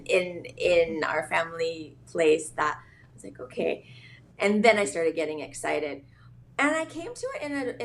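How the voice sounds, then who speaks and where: A woman's voice: on the low side, creaky. A woman from Hawaii, a business founder, talking as a guest in a casual interview, thoughtful and hesitant with many fillers.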